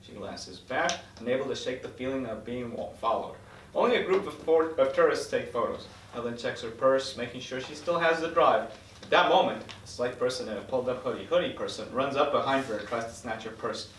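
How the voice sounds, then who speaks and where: A man's voice: medium pitch, brisk, a bit bright, a little hiss or hum. A man reading screenplay stage directions aloud as scripted narration at a table read. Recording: roomy echo, distant microphone.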